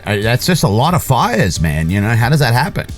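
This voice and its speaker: Laid-back joking man, mid pitch. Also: big pitch swings, fairly quick, soft background music. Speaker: podcast co-host. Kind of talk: casual chat.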